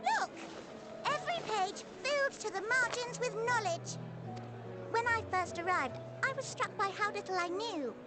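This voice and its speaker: A woman's voice: very high voice, lively intonation, moderate pace, low-quality recording. A woman voicing a small, eager, scholarly rabbit creature in a video game, chatty and full of wide-eyed enthusiasm. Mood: earnest, grateful. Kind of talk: video game cutscene acting. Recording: music playing behind the voice.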